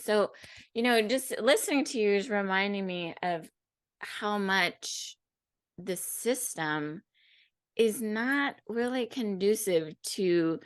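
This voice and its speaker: A woman hosting a talk with a teacher, speaking in a thoughtful, reflective, slightly halting way. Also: medium pitch. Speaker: host, teacher. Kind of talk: casual conversation.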